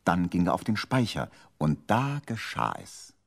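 A man's voice: low voice, big pitch swings, measured pace, gravelly. A man narrating a 1970s children's radio play in a theatrical, emphatic storytelling manner.

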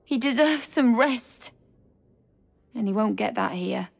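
An actress playing a grieving young widow in a video game, anguished, halting and pleading. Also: female voice, on the high side, lively intonation, vocal fry, exaggerated voice. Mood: weary grief. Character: grieving young widow, desperate, tender, stubborn. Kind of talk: dramatic video game acting.

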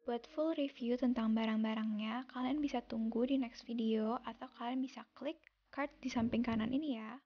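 A young woman from Indonesia narrating her daily vlog as a calm, unhurried voice-over, recorded separately in a quiet room. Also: on the high side, muffled recording. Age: young adult. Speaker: vlogger. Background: soft background music.

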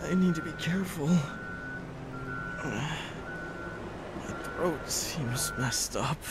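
Man voicing a slow, dramatic wounded dragon. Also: on the high side. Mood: dazed, pained. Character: wounded, wrathful male golden dragon. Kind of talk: melodramatic comic dub acting. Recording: loud music over the voice.

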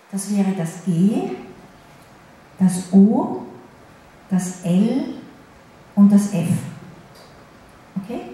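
A woman interpreter voicing a signed lecture in the first person, in a halting way with restarts and pauses, in a large wood-panelled hall. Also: mid-range voice, warm tone. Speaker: sign language interpreter. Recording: very echoey hall, faint ambience.

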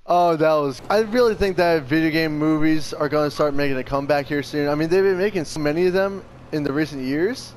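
A man's voice: a little high, fairly quick, hardly any pauses, slight background noise. A man hosting a gaming channel, chatting casually and enthusiastically with plenty of slang and swearing. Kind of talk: casual chat over gameplay.

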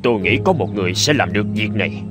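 A man's voice: lowish voice, expressive, rapid-fire, natural voice. Man voicing a stern scheming father, music behind. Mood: calm scheming. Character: stern scheming middle-aged wealthy father. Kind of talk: cartoon fairy-tale dubbing. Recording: clear background music.

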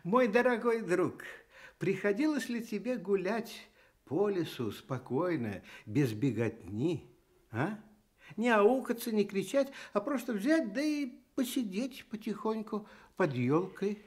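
A man's voice: high voice, a little slow. An actor playing a kindly storyteller on a Soviet children's radio show, speaking lyrically and expressively to his young listeners. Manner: expressive, lyrical, addresses child as dear friend. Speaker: storyteller host.

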